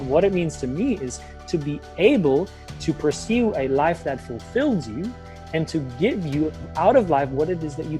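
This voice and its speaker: A young adult man from Germany, whose first language is German, answering interview questions in English with energy and plenty of fillers. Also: fairly high pitch. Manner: energetic, enthusiastic, many fillers. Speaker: guest, life coach.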